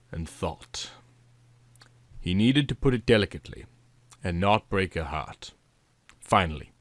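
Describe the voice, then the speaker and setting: Bright, forceful male story narrator. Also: mid-range voice, dry recording. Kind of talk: theatrical comic story reading.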